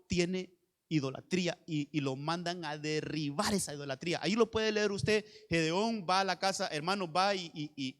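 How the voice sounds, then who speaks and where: A male evangelical pastor with a Honduran accent delivers a sermon in a notably high voice. He exhorts his listeners directly and repeats phrases to press his point. The sound carries the long reverb of a large hall. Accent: Honduran Spanish.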